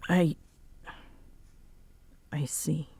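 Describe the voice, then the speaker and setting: A woman playing a prickly, guarded young roommate in an intimate roleplay audio drama, curt, halting and defensive in manner. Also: low voice, steady tone, a little mellow, husky, no echo, exaggerated voice. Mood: stern, guarded.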